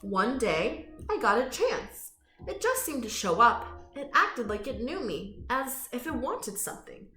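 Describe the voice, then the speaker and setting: A woman reading a picture book aloud to children in an expressive, inviting way, with friendly chatty asides. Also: on the high side, unhurried, soft background music. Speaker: children's storytime reader. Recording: roomy echo.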